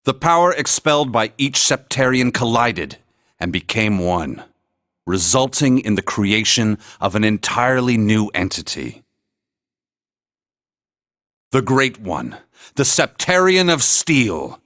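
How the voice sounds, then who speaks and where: Male game narrator, mid-pitched, very expressive swings, dry close sound, grave and dramatic with old legends. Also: slow pace, no room sound. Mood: grave, portentous. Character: grave man recounting ancient lore with gravitas. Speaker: storyteller in a video game cutscene.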